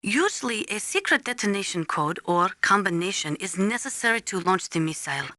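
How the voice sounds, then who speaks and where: A serious female weapons adviser in a military video game. Her voice is crisp-edged and bright, recorded very dry and close. She explains things formally and exactly, like a trained instructor. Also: mid-range voice, sing-song, fairly quick, no room sound. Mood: matter-of-fact. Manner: formal, precise, instructive, avoids contractions. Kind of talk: scripted video game voice acting, explanatory briefing.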